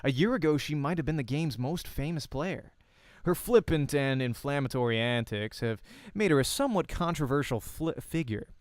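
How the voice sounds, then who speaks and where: A man's voice: mid-range voice, expressive, moderate pace, dry recording, studio quality. A man reading the narration of a visual novel aloud as narrator and voice actor.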